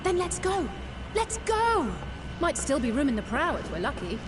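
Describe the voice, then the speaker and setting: A woman's voice: high-pitched, sing-song, normal speed, background noise. A woman playing an earnest, determined young noblewoman in a fantasy game. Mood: bright, eager. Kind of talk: scripted fantasy game dialogue. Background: music.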